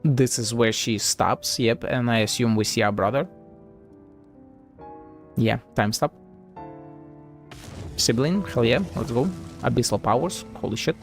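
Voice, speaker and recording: Casting: male game streamer, average pitch, casual, sweary, doubting commentary, faint music behind. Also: unhurried, pauses often. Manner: profane, sceptical, thinking aloud. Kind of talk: casual live gameplay commentary.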